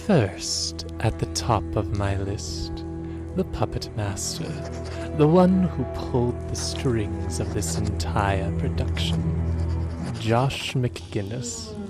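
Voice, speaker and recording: A man's voice: mid-range voice, big pitch swings, drawn-out words, loud music behind the voice. A man playing a sinister, gloating villain who savors each name on a list with theatrical menace. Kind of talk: theatrical horror credits reading.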